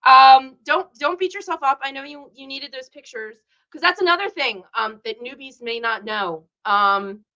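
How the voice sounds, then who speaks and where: A woman's voice: high voice, normal speed, hardly any pauses, bright, forceful tone, highs cut off. A woman hosting a casual live stream, chatting and rambling to her viewers and greeting them by name. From Chicago area. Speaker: livestream host.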